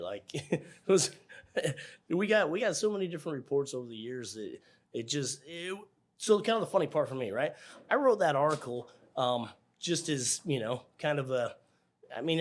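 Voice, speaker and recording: Casual middle-aged American man. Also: medium pitch. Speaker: air traffic controller, interview guest. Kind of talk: casual conversational interview answers.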